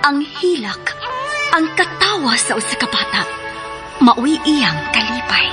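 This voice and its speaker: A woman's voice: high voice, very animated, a little slow. A woman announcing a radio drama from a script, theatrical and emphatic. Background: clear music.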